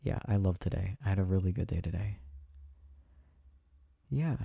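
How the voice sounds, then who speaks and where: Man as tender, teasing boyfriend for ASMR roleplay; low, very mellow voice, soft and close. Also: animated, moderate pace, vocal fry, breathy edge, dry recording, full character voice. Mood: tender, contented. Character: affectionate, tired, playful boyfriend getting ready for bed. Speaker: audio roleplay performer. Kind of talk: intimate boyfriend ASMR roleplay, casual chat.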